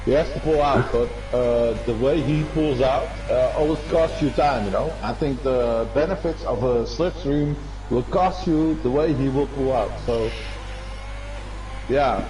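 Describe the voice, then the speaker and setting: A man whose first language is Dutch co-commentates a sim race in English. He talks at a middling pitch and often stops to search for words with fillers and restarts. Music plays clearly behind his voice. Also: measured pace, poor audio. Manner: hesitant, many fillers and restarts. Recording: music in the background.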